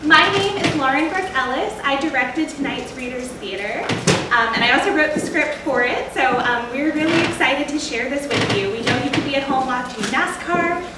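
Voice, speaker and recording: A woman's voice: sits high, moderate pace. A woman theater director giving a chatty, informal welcome speech to a live audience before a show. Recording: very echoey theater, distant mic, noisy.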